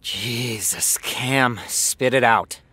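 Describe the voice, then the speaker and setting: An actor playing a sardonic, defensive television writer with a dry, theatrical way of talking, in naturalistic audio drama style. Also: male voice, mid-range voice, extremely slow, bright, forceful tone, breathy edge, no echo, heavily acted. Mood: sarcastic, impatient. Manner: dry, sarcastic, dramatic emphasis. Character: sardonic, defensive male TV showrunner, harried and theatrical.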